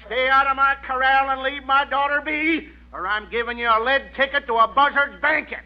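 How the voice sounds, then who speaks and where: An old cowboy with a high voice and a folksy Western drawl. He is blustery and absent-minded, in the manner of 1940s radio comedy, on a muffled old recording. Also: fairly even intonation, fairly quick. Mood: threatening bluster. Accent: American Western drawl. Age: older. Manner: folksy frontier talk, threatening bluster.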